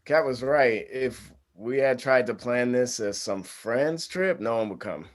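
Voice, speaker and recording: A man as a dry, reluctant groom-to-be, mid-pitched. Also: unhurried, a little hiss or hum, natural voice. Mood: dry, wry. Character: reluctant, dryly wry groom-to-be among friends. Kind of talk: screenplay table read.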